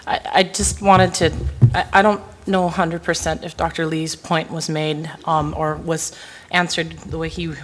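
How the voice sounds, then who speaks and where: A woman's voice: sits low, flat delivery, normal speed, slight background noise. A woman whose first language is Hawaiian, a committee member pressing an urgent, earnest question at a meeting in long winding sentences. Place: meeting room.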